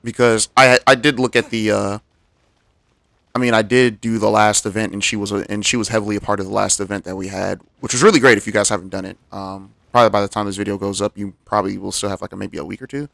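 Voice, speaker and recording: A man streams a game with casual, rambling commentary. His low voice has a gravelly creak and sits close and dry on the mic, with game music behind. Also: flat delivery, fast talker, a bit bright, no room sound. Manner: rambling, thinking aloud.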